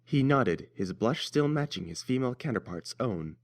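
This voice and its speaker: A man's voice: medium pitch, lively intonation, normal speed. A man narrating a scripted story as its reader.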